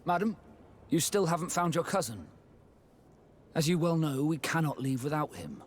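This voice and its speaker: An actor playing a guarded, formal sea captain in a video game, with a dry, measured manner. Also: male voice, on the high side, lively intonation, breathy edge. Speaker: ship captain character. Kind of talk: video game cutscene dialogue acting.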